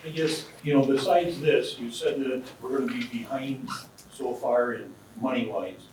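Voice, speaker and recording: A man's voice: mid-range voice, noisy background. A man serving as county supervisor, asking plain-spoken, rambling questions at a public meeting. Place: council chamber. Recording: distant mic in a very echoey hall.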